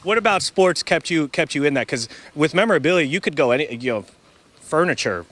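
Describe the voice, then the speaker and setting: Friendly reporter guy from arizona, live outdoors, kinda high voice. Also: animated, a bit bright. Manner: upbeat, friendly, quick questions. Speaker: TV news reporter. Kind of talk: live field interview.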